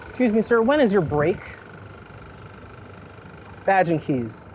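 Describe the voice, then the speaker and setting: A man's voice: high-pitched, big pitch swings, slow pace, a little mellow. A man talks casually as he walks through a city. He is reflective and rambling, often dropping a thought halfway to start another. Light urban noise and passing vehicles sit behind his voice. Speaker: street photographer being interviewed. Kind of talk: casual conversation while out walking. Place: outdoors on a busy city street. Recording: outdoor city street noise, traffic.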